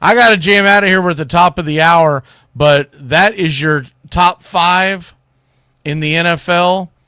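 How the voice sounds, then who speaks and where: A man's voice: high voice, measured pace, bright, forceful tone, smooth, no echo. A man hosting sports talk radio, giving blunt, exasperated, folksy opinions into a close microphone. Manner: exasperated ranting, blunt, mildly salty, folksy.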